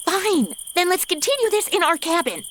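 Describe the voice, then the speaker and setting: Woman playing a high-pitched, sarcastic young woman. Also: moderate pace, slight background noise, heavily acted. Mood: impatient. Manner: snarky, blunt, animated. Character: sassy, sarcastic modern young woman, exasperated. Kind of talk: comedy audio drama.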